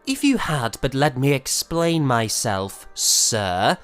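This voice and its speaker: Theatrical young male composer played by an actor, aggrieved and florid, slow, highly animated delivery over music, for period audio drama. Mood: flustered, defiant. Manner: theatrical, florid, hesitant sputtering. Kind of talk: period audio drama acting, letter narration.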